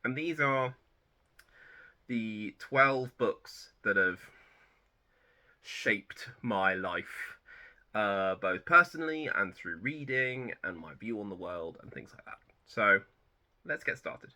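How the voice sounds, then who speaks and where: Very bright-toned man in his thirties. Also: on the low side, takes it slowly. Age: 30s. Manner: relaxed and rambling, with frequent self-corrections. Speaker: book channel host. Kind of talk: casual personal chat to camera.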